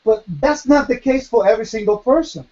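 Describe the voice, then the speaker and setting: Man from puerto rico doing bible q&a, high voice, asks then answers himself, muffled lo-fi sound. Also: a little fast, a little mellow. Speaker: Torah teacher. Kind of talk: Q&A Bible teaching.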